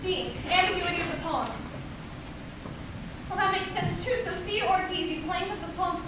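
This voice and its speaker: An anxious student talks herself through her reasoning, acted by a woman in a stage play. Her voice is very high, far from the mic in a noisy, echoing space. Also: moderate pace. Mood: deliberating. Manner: deliberating, talking to herself. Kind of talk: amateur stage play acting. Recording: echoey distant stage, noisy.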